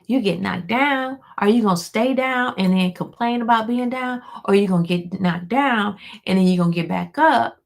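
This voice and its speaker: A hoarse woman, a life coach, giving an encouraging motivational talk to her viewers, repeating key lines for emphasis and calling them y'all. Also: mid-range voice, normal speed, few pauses. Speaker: life coach hosting a weekly motivational show. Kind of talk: motivational pep talk to camera.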